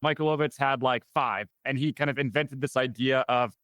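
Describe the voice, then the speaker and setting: A man's voice: mid-range voice, normal speed, a bit bright, dry recording. A man hosting a business podcast, asking questions and telling stories in a friendly way. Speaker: podcast host. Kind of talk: podcast interview.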